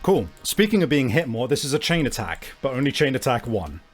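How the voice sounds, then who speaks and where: A man's voice: medium pitch, big pitch swings, brisk. A man improvising narration as a game master, descriptive and often searching for his words. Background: faint music.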